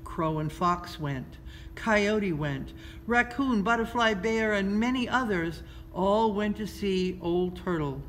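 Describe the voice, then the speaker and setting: A woman's voice: mid-range voice, takes it slowly. A woman narrating a children's storybook expressively as a story reader. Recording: clearly noisy background ambience.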